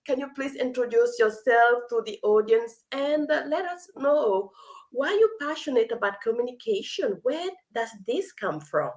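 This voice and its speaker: Role: interview host. Sex: woman. Pitch: somewhat high. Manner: effusive, admiring, asks eager questions in a conversational style. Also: animated, moderate pace. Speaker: podcast host.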